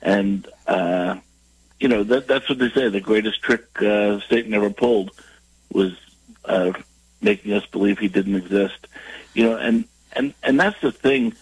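A man's voice: fairly low pitch, measured pace, slightly rough, dry recording, low-quality recording. A man with a cold, calling in by phone as a guest columnist, talking in a wry, self-mocking way. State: has a cold. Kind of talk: radio call-in chat. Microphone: phone line.

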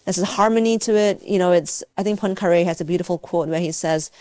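A woman's voice: on the low side, darkish tone, dry recording. A woman from Malaysia, a scientist giving reflective, conversational interview answers, searching for words as she goes. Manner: halting, thoughtful, searching for words. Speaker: scientist and university teacher, interview guest.

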